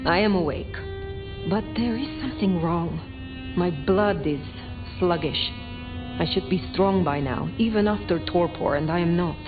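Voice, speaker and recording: A woman's voice: on the low side, unhurried, natural voice. An actress voicing an imperious ancient female vampire in a video game, speaking in a cool, formal, archaic and commanding manner. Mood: grave. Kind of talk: video game dialogue acting. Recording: muffled game audio under music.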